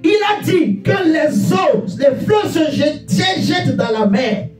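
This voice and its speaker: A man's voice: extremely high pitch, big pitch swings, measured pace, shouting. A man preaching a sermon in an emphatic, exhorting manner, repeating phrases and putting rhetorical questions to his listeners. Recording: echoey room, distant mic, faint music.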